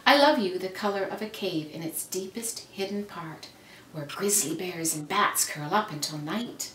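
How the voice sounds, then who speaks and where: Woman reading as a gentle storybook mama, roomy sound. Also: on the low side, natural voice. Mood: tender, loving. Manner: tender, reassuring, unhurried praise. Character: gentle, loving mother of two young boys. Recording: roomy, noisy, distant microphone.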